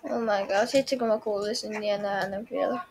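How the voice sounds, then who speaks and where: A woman playing a game and reacting out loud in a dramatic, exclamatory, pleading way. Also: mid-range voice, fairly even intonation, a little hiss or hum. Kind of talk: excitable reactive gameplay chat.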